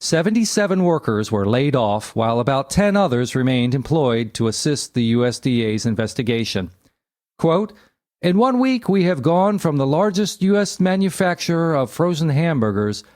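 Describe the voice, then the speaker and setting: A man narrating an encyclopedia article aloud in a measured, factual, scripted delivery. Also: medium pitch, darkish tone, dry recording, studio quality.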